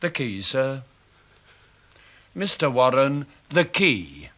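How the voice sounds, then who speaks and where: A man's voice: medium pitch, very animated, slow, a bit bright, exaggerated voice. A man playing a dogged, officious police inspector in a 1970s radio play, formal and insistent. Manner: formal, insistent, dryly accusing.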